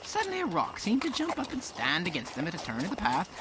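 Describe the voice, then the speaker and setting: A man playing a folksy fireside storyteller with an Irish accent and a creaky voice, narrating a tale. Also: high voice, huge pitch swings, fast talker, background noise. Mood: storytelling. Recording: music underneath.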